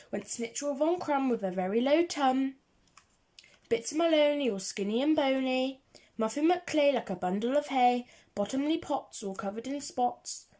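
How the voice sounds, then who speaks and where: A woman's voice: high-pitched, a little mellow. A woman reading a children's picture book aloud, with a rhythmic, singsong delivery of the rhyming verse. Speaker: reader of children's picture books. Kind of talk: storybook read-aloud.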